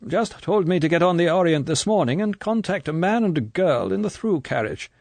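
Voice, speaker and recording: A man's voice: high-pitched, animated, brisk, gravelly, a little mellow, no room sound, pitched above the performer's own voice. A man voicing a burly, shy male agent with a faint Irish brogue who talks in clipped, chummy phrases. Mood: brusque. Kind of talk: audiobook character voice.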